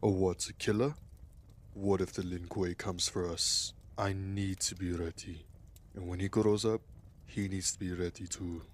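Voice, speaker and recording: A man playing a devoted ninja father and skilled warrior in an audio drama, speaking in a measured, solemn, soft way. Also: sits low, steady tone. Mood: quiet, earnest.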